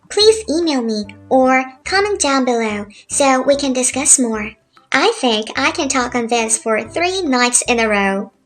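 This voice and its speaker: A high-pitched woman in her thirties with a Korean accent talks through a relaxed, scripted lesson. She speaks in an easy, chatty, laid-back way. Soft music plays quietly behind her. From South Korea. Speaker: language tutor. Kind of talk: relaxed scripted narration over drawings. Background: faint music.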